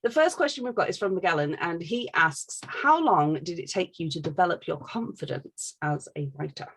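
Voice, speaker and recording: A woman's voice: lowish voice, lively intonation, brisk, fairly bright tone, breathy edge, low-quality recording. A woman co-hosting a podcast in a casual, joking and irreverent way, reading out questions. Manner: playful, irreverent. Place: bedroom.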